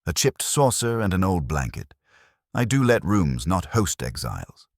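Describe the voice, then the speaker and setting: A prim English landlady, as acted by a male narrator, very deep in pitch and slightly husky. She is sniffy and guarded, dropping terse fragments with lively rises and falls in a mystery audiobook. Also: normal speed, no echo, clean studio sound, heavily acted. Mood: sniffy, haughty. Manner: clipped, terse fragments, haughty.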